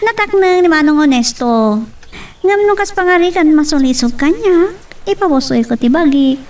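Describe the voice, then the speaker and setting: A woman's voice: high voice, lively intonation, moderate pace, darkish tone, recorded from a distance. A woman with an Ilocano accent playing a spirited, lovestruck young daughter of a wealthy father in a radio drama. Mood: playful, pleading.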